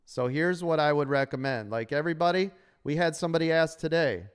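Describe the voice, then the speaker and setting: A man's voice: fairly quick, clear, resonant tone. A man coaching stock traders on a live stream, upbeat and salesy while losing his voice, talking from a sunny room full of windows. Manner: upbeat, sales-pitch delivery. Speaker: trading coach and host. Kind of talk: live stream chat with members.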